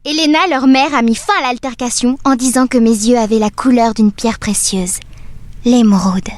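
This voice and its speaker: An actress playing a dreamy, wistful doll who tells her own story in measured, poetic narration for an audio drama. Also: on the high side, steady tone, dry recording, natural voice. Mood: wry, matter-of-fact. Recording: music under the voice. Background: music.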